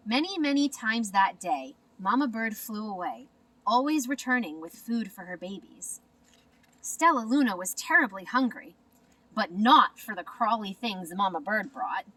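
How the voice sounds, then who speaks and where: A woman narrating a children's storybook in an expressive, deliberate manner. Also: mid-range voice, distant mic, poor audio. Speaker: storybook reader.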